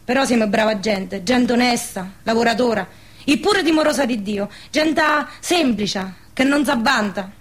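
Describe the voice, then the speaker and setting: An actress playing a proud, gossipy village spinster, speaking earthy southern Lazio dialect in a radio play. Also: female voice, fairly high pitch, normal speed, lightly acted. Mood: proud, touchy. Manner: earthy, emphatic, colloquial. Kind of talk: dialect radio theatre dialogue.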